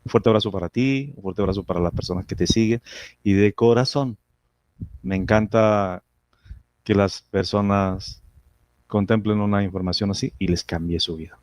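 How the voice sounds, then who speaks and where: A man's voice: on the low side, moderate pace, darkish tone, no room sound. A man answering as a hypnotherapist guest in a casual interview, speaking emphatically and repeating phrases with self-assurance.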